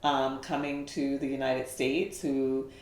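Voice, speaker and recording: A woman with a faint Bahamian lilt tells her life story in a relaxed interview, pausing on ums and often laughing. Her voice sits low, and the room around her is echoey. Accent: faint Bahamian lilt in speech pattern. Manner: laughing storyteller with many fillers. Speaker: guest. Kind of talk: casual interview chat.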